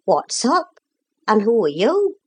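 A woman voicing a noble, hearty eldest gull, master of the sea birds, in a brisk and gallant storybook manner. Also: on the high side, huge pitch swings, husky, voice pushed up, exaggerated voice. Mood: brisk challenge.

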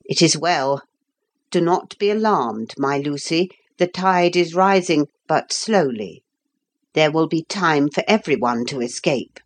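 A woman voicing a pompous, fussy old man who keeps an ark, speaking in a slow, formal, lecturing manner. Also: low-pitched, animated. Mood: reassuring. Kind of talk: audiobook character voice.

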